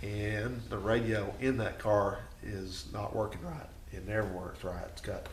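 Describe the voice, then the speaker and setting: A man with a fairly low voice talks casually through a hands-on garage demonstration. He rambles and thinks aloud, often second-guessing himself. The recording is echoey, with clear noise behind him. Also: fairly even intonation, unhurried. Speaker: host of a home garage repair channel. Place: home garage.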